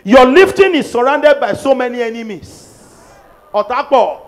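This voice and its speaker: A middle-aged man with a Nigerian accent leading prophetic prayers, shouting declarations into a handheld microphone in a large church. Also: very high-pitched, animated, normal speed. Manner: fervent, shouting declarations, repeating lines for the crowd. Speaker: pastor. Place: large church auditorium, on a stage at a pulpit.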